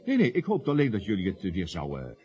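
Smooth, meddling man, lively intonation. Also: lowish voice. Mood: sly, coaxing. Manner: chatty, coy, teasing, with deliberate hesitations. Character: smooth, meddling male property agent playing matchmaker. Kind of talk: radio drama acting. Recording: dull, lo-fi old radio drama.

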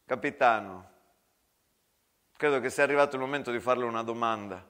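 Casting: male actor as condescending wartime major, lowered voice, formal, falsely courteous, quietly threatening. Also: a little fast, long reverb tail, natural voice. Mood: controlled menace. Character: smug, menacing army major, interrogating with false courtesy. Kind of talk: theatrical war monologue.

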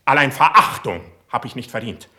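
A bright, forceful young poet, proud and easily wounded. He declaims classical verse for a radio play with fervour, able to move between hushed intensity and high passion. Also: animated, normal speed. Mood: wounded pride. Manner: declamatory, fervent, swinging from hushed to outraged.

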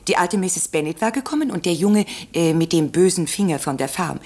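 Gossiping sister, 1950s radio play: deep female register, raspy-edged, smug, inquisitive, teasing chatter. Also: expressive, a little fast, mellow. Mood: smug, gossipy. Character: nosy, self-satisfied gossiping sister of a doctor.

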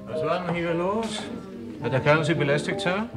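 An actor playing a garrulous, grumbling pub landlord in a vintage radio crime drama, chatty and blustering. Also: male voice, a little high, lively intonation, moderate pace, over loud music, close to an everyday voice. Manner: chatty, blustering, repeats himself.

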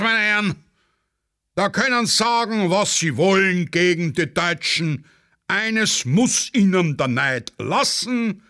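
A man's voice: high-pitched, bright, forceful tone, heavily acted. Man playing a pompous Austrian general. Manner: pompous, speechifying, emphatic. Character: pompous, muddled old-school Austrian general addressing officers.

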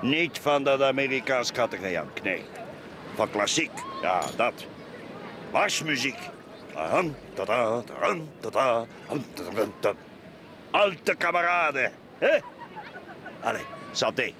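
A man's voice: medium pitch, very animated, measured pace, noisy background. An actor playing a grumpy, prudish middle-aged man in a Flemish radio play, blustering and muttering with a Flemish accent. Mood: grumbling, boisterous. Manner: blustering, grumbling, exclaims and mutters. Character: grumpy, prudish, boastful heavyset middle-aged bourgeois beach-café customer.

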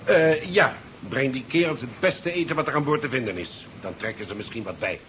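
A man's voice: mid-range voice, animated, brisk, fairly bright tone, lightly acted. Man as curt commander, muffled old radio. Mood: curt, sardonic. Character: curt, sardonic male ship commander worried about career. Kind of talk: 1970s Dutch science fiction radio play acting. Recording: muffled 1970s radio drama recording, faint noise.

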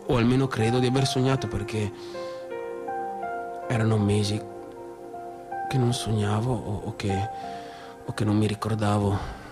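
An actor playing a disturbed provincial young man in a radio theatre monologue, with a northeastern Italian accent and a halting, repetitive, colloquial way of talking. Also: on the low side, fairly even intonation, close to an everyday voice. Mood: dazed recounting. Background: music.

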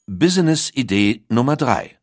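A man's voice: medium pitch, big pitch swings, measured pace, a little mellow, dry recording, clean studio sound. A man reads scripted documentary narration as one of its narrators. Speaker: second narrator of an audio documentary.

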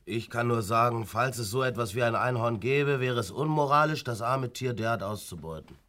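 An actor playing a skeptical, sarcastic young adult son in a satirical radio play, with a deadpan manner. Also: male voice, mid-range voice, moderate pace, close to an everyday voice. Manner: deadpan, sardonic. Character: dry, skeptical grown son, sarcastic rationalist. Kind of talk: German radio play acting, satirical comedy.

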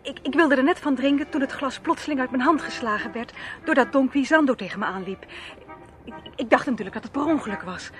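A woman's voice: high voice, quick pace, faint music behind, close to an everyday voice. An actress playing an anxious, earnest young woman in a radio play, speaking hesitantly. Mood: shaken, earnest. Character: Linda West, anxious young woman seeking her brother. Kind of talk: Dutch radio play acting. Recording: noisy old radio play recording.

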